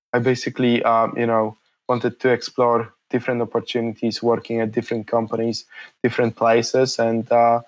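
A man's voice: mid-range voice, poor audio. A man in his thirties from Poland, Polish his first language, answering interview questions in a hesitant, reflective way with many fillers and restarts.

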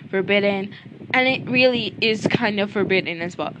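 A woman's voice: medium pitch, moderate pace, highs cut off, noisy background. A young woman in a casual book review vlog, ranting bluntly and chattily while she is losing her voice.

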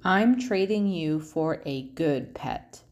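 A woman voicing a grudging but soft-hearted young boy in a storybook, speaking plainly and emphatically. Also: sits low, lively intonation, slow. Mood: firm, decided.

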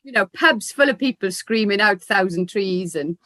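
A woman's voice: medium pitch, lively intonation, normal speed, fairly bright tone, no room sound, studio quality. A woman from Wales with a South Wales Valleys accent, fondly reminiscing in casual conversation and laughing easily. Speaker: guest. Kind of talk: casual chat.

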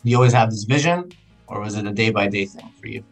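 A man's voice: fairly low pitch, expressive, fast talker, faint music behind. A man hosting an interview, asking questions casually and hesitantly, with many fillers. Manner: hesitant, lots of fillers and you-knows.

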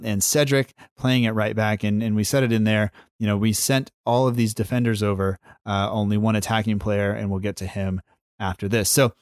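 A man with a low voice hosts a podcast casually. He is laid-back and easygoing, phrasing things loosely as he goes. The sound is dry and close. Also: clear, resonant tone, dry recording. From United States. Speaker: podcast host.

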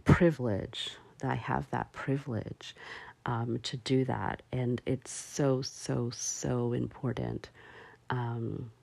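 A woman hosting a solo talk show, speaking slowly and haltingly with many fillers. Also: rumbling low, steady tone, creaky, darkish tone, husky, dry recording. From parents from Barbados. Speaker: podcast host.

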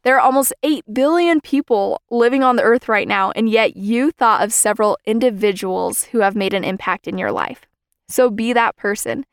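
A young woman hosting a podcast, giving an earnest, measured scripted talk on faith and belonging. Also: a little high, clear, resonant tone, dry recording, studio quality. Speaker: podcast host.

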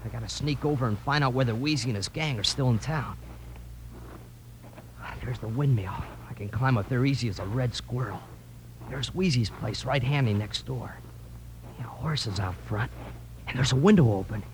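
A man's voice: mid-range voice, normal speed, lightly acted. A male actor playing a plucky young frontier boy, eager and folksy, in a 1950s radio western. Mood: sneaky, eager. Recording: noisy old radio recording with clicks.

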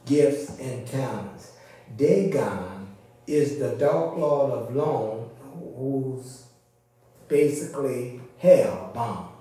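A man's voice: medium pitch, mellow. A man preaching in an informal, teacherly way, explaining scripture and often checking that his listeners follow. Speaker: preacher leading a church service. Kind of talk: informal expository sermon. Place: reverberant indoor church service. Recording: echoey room, distant mic.

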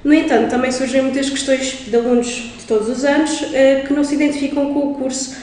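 A woman's voice: high voice, little pitch movement, fast, a little mellow, recorded from a distance. A woman, an intern co-hosting a podcast and reading the opening from a script. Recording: echoey room with faint noise.

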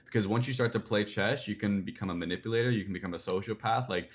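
A young man in his twenties answering interview questions about personal branding, rambling with lots of "like" and "you know". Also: on the low side. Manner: fast, rambling, filler-heavy with "like" and "you know". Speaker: guest, personal branding speaker.

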